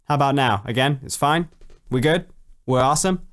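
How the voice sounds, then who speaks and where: A young man chatting casually on a late-night stream, answering viewer questions, swearing freely and jumping up in pitch when excited. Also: moderate pace, no echo, clean studio sound. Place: home at night.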